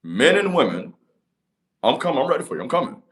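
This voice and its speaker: A man's voice: very animated, fast talker, a bit bright. A man, giving a motivational talk to camera in an emphatic, repetitive cadence. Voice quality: deep voice. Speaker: channel host.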